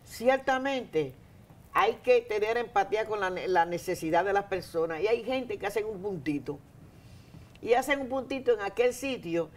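A woman's voice: mid-range voice, animated, normal speed. A woman in her fifties with a Dominican accent, hosting a casual studio interview, talking emphatically and turning often to address her audience. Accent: Dominican Spanish. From Dominican Republic. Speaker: talk show host. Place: TV studio set, seated in an armchair. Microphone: lapel mic.

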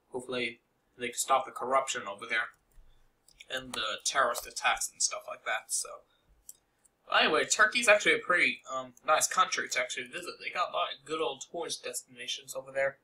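A man's voice: medium pitch, pushed and bright, rough recording. A young man casually narrating a game-building tutorial over a screen recording, rambling with many fillers and asides.

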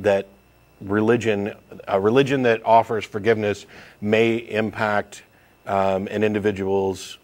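A man hosting a live call-in talk show from a TV studio, talking in a measured way and thinking aloud with repeats. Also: fairly low pitch, a little mellow.

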